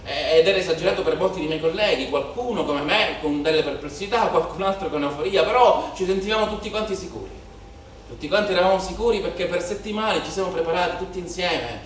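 Guy acting a troubled cop confessing on stage, highish forceful voice, super echoey. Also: fast talker, lightly acted. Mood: troubled, subdued. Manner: intense, repetitive, rhetorical delivery. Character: troubled young policeman confessing his part in riot violence. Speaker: stage actor in a monologue. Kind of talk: theatrical dramatic monologue. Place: theatre stage or performance space. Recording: very echoey room, distant mic, some noise.

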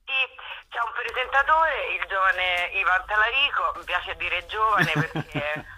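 A woman in a casual interview, speaking in a rambling, halting way with self-deprecating irony, as a comic performer. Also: fairly low pitch, lively intonation, bright, forceful tone, poor audio. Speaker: comic actress and writer, interview guest.

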